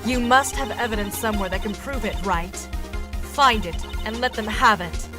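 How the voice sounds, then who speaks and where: A confident female law-firm boss, acted by a woman, mentors firmly in a game fandub. Her delivery is conversational and coaxing, at a middle pitch, with loud music underneath. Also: moderate pace, close to an everyday voice. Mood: coaxing, encouraging. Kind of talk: video game fandub dialogue.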